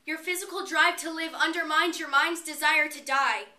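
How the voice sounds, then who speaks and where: A woman's voice: high-pitched, steady tone, moderate pace, pushed and bright. A woman performing a dramatic stage monologue as a despairing, bitter young college student, emotive and confessional. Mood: anguished, intense. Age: young adult. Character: despairing suicidal college girl, bitter and pleading. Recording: roomy, distant mic, faint noise.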